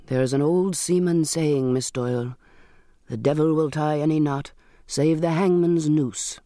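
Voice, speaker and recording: A narrator voicing an old seaman in an audiobook, a gentle, shrewd friend who coaxes earnestly in formal, old-fashioned speech. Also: medium pitch, steady tone, measured pace, dry recording, studio quality, natural voice. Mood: grave, insistent.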